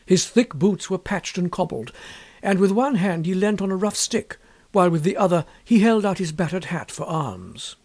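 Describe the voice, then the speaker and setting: Husky, high-pitched male storyteller, very lively. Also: moderate pace. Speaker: audiobook narrator. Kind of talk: expressive scripted literary narration.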